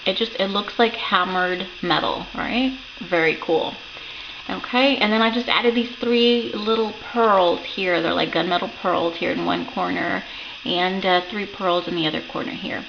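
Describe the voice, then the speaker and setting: A woman talking through a finished craft project in a loose, rambling way, her voice croaky from a sore throat. The recording is dull and lo-fi, with clear hiss underneath her. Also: lowish voice. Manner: chatty, rambling, lots of ums and self-corrections. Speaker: crafting channel host. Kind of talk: casual craft show-and-tell. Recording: dull lo-fi home recording with noticeable background hiss.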